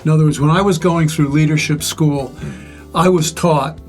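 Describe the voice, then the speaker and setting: An older man with a relatively loud voice, reflectively sharing life stories and advice in measured, pause-filled speech. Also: fairly high pitch, fairly even intonation, soft background music. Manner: measured, pausing often with "uh". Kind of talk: reflective conversational storytelling.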